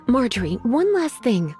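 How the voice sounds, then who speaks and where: A woman's voice: a little high, heavily acted. A woman voicing a languid, teasing librarian mage in a video game, who drawls, sighs often and calls others cutie.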